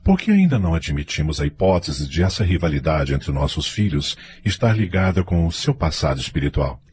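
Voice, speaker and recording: A man's voice: extremely low pitch, big pitch swings, brisk, a little mellow, slightly rough, exaggerated voice. An actor playing an earnest, devout middle-aged father in a radio soap opera. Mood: earnest, pleading.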